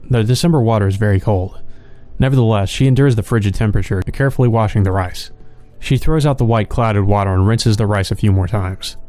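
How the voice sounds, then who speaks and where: A man reading visual novel narration aloud for a let's play, in a plain, measured storytelling manner. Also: fairly low pitch, mellow.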